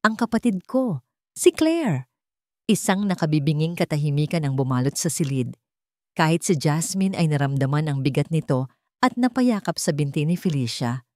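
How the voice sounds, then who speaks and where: A woman tells a scripted love story as a dramatic narrator. Her voice sits low, and the recording is dry and close, with little room sound. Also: animated, brisk, dark tone, dry recording.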